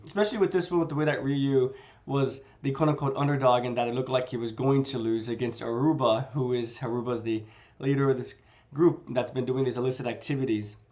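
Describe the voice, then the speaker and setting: A man's voice: mid-range voice, steady tone, fairly quick, a little mellow. Congested man discussing a novel. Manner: rambling, self-correcting. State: congested, nasal from a cold. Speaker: book reviewer. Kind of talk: casual chapter discussion vlog.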